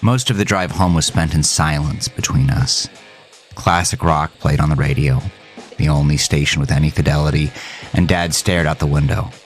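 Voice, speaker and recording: A man's voice: rumbling low, moderate pace. A man narrating a first-person horror audio drama in a somber, literary storytelling manner. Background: music.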